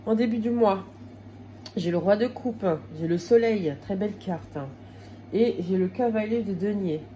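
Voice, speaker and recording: A woman's voice: medium pitch, moderate pace, warm tone, a little hiss or hum. A woman with a slight cold giving a casual tarot reading to her viewers, talking in a hesitant way with many fillers and restarts. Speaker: tarot card reader.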